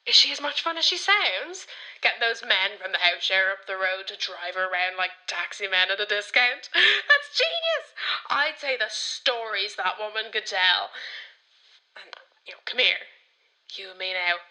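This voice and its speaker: A woman's voice: medium pitch, sing-song, normal speed, bright, forceful tone, low-quality recording. A woman playing a cheeky, confessional young Irish granddaughter, rambling theatrically on a radio comedy-drama voicemail. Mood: teasing, gleeful. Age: young adult.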